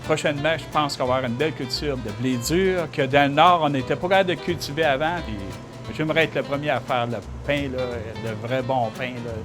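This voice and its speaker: A middle-aged man speaks in a homey, chatty documentary interview. He tends to trail off with little tag words. Plucked guitar music plays clearly under his voice. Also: mid-range voice, a little fast. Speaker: baker.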